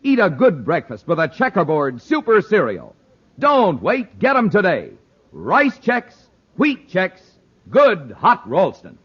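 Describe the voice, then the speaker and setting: A male announcer delivers scripted copy in vintage radio style. His voice is fairly high and very animated, with emphatic punch, heard through a dulled old recording. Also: slow, breathy edge, dull audio. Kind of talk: mid-century radio announcing, scripted ad and narration.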